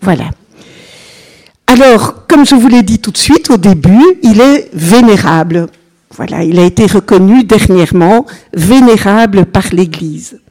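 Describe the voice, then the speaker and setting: An older woman with a Belgian French accent, a religious sister giving an informal, chatty teaching to a seated group and often pausing to address them directly. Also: medium pitch, expressive, dark tone. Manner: chatty, unhurried, peppered with "voilà", engages listeners.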